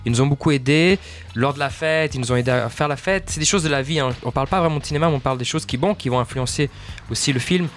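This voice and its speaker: A man from Portugal, a film director being interviewed, talking fast and searching for words as he explains at length. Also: mid-range voice, faint music behind. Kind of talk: interview answers.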